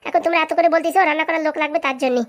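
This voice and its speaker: Woman voicing high, rapid-fire village cartoon character. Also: steady tone, fairly bright tone. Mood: excitable, bantering. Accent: rural Bengali. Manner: colloquial, bantering, exclamatory. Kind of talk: comedy cartoon dialogue.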